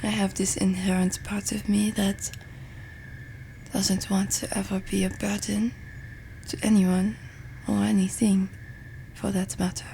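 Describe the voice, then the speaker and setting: A woman playing a pensive French roommate in an intimate ASMR ramble, with a French accent and a soft, breathy, hesitant delivery. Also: medium pitch, little pitch movement, measured pace, slight background noise. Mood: musing, gentle. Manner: hesitant, musing, self-deprecating. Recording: music behind the voice. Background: music and summer ambience.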